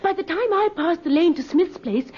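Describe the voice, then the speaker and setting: A prim, excitable busybody insists in an extremely high, swooping voice. It comes through a muffled old radio recording, every phrase emphatic. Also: female voice, moderate pace. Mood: excited, certain. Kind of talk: old-time radio acting.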